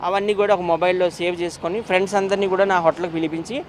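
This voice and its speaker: Fast, high-voiced man being interviewed. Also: flat delivery, slight background noise. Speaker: interview guest. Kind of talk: animated opinionated interview talk.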